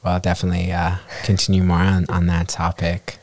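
A man, hosting a casual conversational interview in a tentative, halting way as he gathers his thoughts. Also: low-pitched, completely flat delivery, darkish tone, no echo. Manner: tentative, halting, attentive listener. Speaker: podcast host, interviewer.

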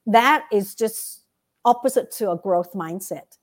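A woman's voice: mid-range voice, expressive, measured pace. A woman with a Vietnamese accent, a guest giving earnest motivational advice and often checking in with "right?". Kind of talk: motivational interview talk.

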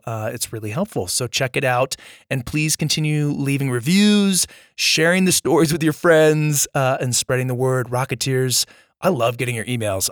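A man hosting a children's story podcast, hoarse from losing his voice, chatting in an upbeat, friendly way to young listeners. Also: mid-range voice, no room sound, studio quality. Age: adult with young children. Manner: upbeat and friendly, addressing kids directly. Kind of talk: casual outro chat to young listeners.

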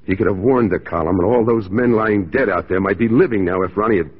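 Casting: stern, dutiful lawman in old radio drama, rough-edged voice, dull recording. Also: fairly low pitch, fast talker. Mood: grave, pained. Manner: terse, firm, grave. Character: stern, dutiful mounted police sergeant, stoic and resolute. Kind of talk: 1940s radio drama acting.

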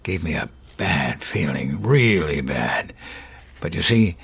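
An actor playing an elderly grandfather in a horror audio drama, folksy, wistful and quietly menacing, with halting, musing delivery. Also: sits low, expressive, gravelly, slight background noise, heavily acted. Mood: haunted, ominous. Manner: halting, musing, with sighs and chuckles. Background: music.

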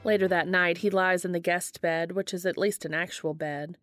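A woman reads fiction aloud as an audiobook-style narrator. Her voice sits a little on the low side for a woman. The recording is dry, without audible room. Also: steady tone, moderate pace, fairly bright tone, no room sound, faint music behind. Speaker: fan fiction reader.

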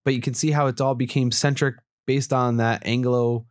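A man's voice: medium pitch, flat delivery, normal speed, no room sound, clean studio sound. A man congested from allergies, co-hosting a casual discussion and musing as he corrects himself. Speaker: podcast co-host.

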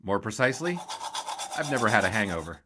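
Man playing glib young reporter, lively swooping delivery. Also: on the low side, fast talker, noisy background. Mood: breezy, wry. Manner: wisecracking, playfully formal. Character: eager, glib young male 1920s sports reporter. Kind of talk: 1920s period audio drama, with narration.

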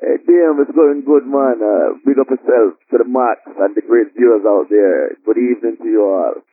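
A man's voice: medium pitch, moderate pace. A man in his late thirties from Jamaica with a Jamaican accent, chatting humbly as an interview guest, with many fillers. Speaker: guest drummer. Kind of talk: casual interview chat. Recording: tinny phone-line audio.

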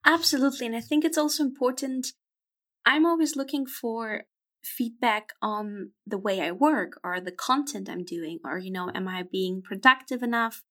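A woman's voice: on the high side, normal speed. A woman in her twenties, a podcast guest talking frankly and reflectively in a casual interview. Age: 20s.

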